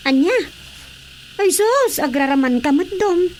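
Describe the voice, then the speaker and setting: A woman's voice: high-pitched, animated, measured pace, darkish tone. A woman playing a fretful, evasive young daughter in an Ilocano radio drama, with faint noise behind her. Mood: worried.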